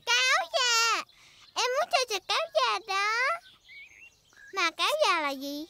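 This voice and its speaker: A woman voicing an eager, easily sulky little girl pig in a cartoon dub, with a childish, expressive delivery. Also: extremely high pitch, unhurried, a little hiss or hum, heavily acted. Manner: childish, expressive, whiny at times.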